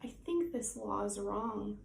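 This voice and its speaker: A woman voicing an earnest second-grade girl in a storybook, halting and hopeful. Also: medium pitch, animated, gravelly, voice pushed down. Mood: timid, hesitant. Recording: echoey room, faint background noise.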